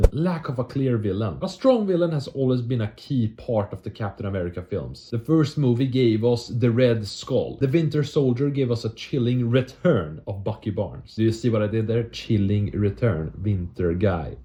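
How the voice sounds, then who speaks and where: A man's voice: lowish voice, lively intonation, normal speed, warm tone. A young man with a Swedish accent reads a movie commentary script, breaking off into self-mocking asides and laughing at his own jokes.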